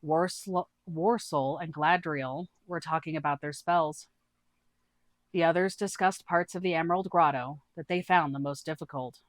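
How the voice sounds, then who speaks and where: A woman's voice: sits low, fairly even intonation, measured pace, no echo. A woman storyteller reading a scripted fantasy adventure tale as narration.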